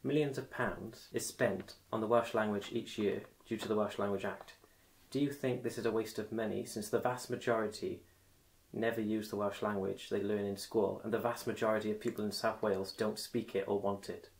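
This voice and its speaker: Man with a lowish voice asking questions. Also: steady tone, moderate pace, vocal fry, rough recording. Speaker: radio interviewer. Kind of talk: reading prepared questions.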